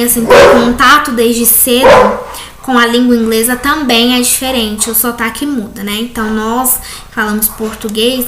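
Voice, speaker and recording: A Brazilian woman, an English teacher, correcting textbook exercises in a recorded class, reading questions slowly and explaining with frequent tag questions. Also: a little high. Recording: echoey room, faint background noise.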